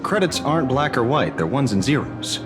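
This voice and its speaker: A man voicing a pragmatic, brisk investigator in a video game, with an animated delivery. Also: medium pitch, over music, lightly acted. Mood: wry.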